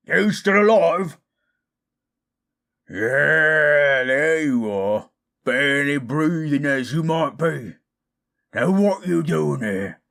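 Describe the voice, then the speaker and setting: A man playing a gruff orc fighter with rough, colloquial speech in a fantasy audio drama. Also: medium pitch, a bit bright, full character voice. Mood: brusque. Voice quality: gravelly, husky.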